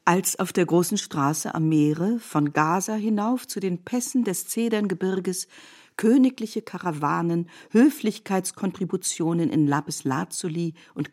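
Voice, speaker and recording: A woman narrating a literary radio play in measured, deliberate phrases, as a reflective storyteller. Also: lowish voice, darkish tone.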